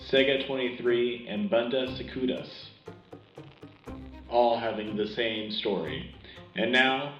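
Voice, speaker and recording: Casting: man as earnest sci-fi war radio anchor, mid pitch, emphatic news delivery over faint music. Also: roomy sound, highs cut off, close to an everyday voice. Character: male wartime radio anchor, earnest and rallying. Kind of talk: scripted in-universe war news broadcast.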